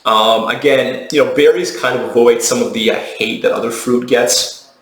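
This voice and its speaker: Male dietitian presenter, mid-pitched, conversational and opinionated, little pitch variation, casual explainer style. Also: fairly even intonation, normal speed, fairly bright tone. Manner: conversational, matter-of-fact, opinionated. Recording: roomy, echoey indoor sound.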